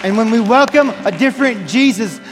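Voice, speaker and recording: A man preaching an impassioned evangelical sermon as a pastor, fervent and loudly exhorting, with emphatic repeated phrases. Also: very high voice, expressive, brisk, a faint crowd. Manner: loud, near-screaming exhortation. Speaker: pastor preaching to a church congregation. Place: large church hall, live preaching. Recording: large reverberant hall with music underneath. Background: music playing under the preaching.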